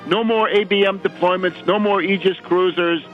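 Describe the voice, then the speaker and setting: A man's voice: high-pitched, steady tone, moderate pace, faint music behind. A man hosting a political radio show, delivering an opinionated monologue in a sardonic, emphatic way with rhetorical questions. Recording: dull lo-fi radio audio.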